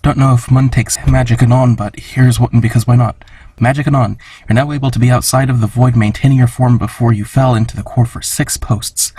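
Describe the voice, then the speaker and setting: A man with a husky voice casually reading audience questions aloud for a comic dub. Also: mid-range voice, steady tone, a little fast, dark tone, a little hiss or hum.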